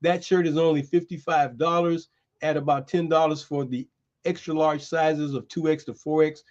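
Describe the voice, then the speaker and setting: A man hosting a streamed show, chatting loosely and calling people "man" as he pitches merchandise. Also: fairly even intonation, normal speed, a little mellow, lo-fi sound. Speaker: host. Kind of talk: casual chat and merchandise pitch. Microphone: streaming setup.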